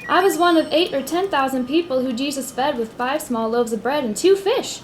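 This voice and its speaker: With faint noise behind her, a woman acts out a knowing, upbeat informant in a detective radio play. Her voice is high, and she talks in a chatty, matter-of-fact way. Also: brisk, close to an everyday voice. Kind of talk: radio theater acting.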